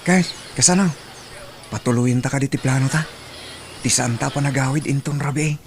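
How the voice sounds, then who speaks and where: A man with an Ilocano accent voicing an excitable, flirty man in an amateur comedy drama. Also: medium pitch, normal speed, noisy background, lightly acted. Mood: flustered, coaxing.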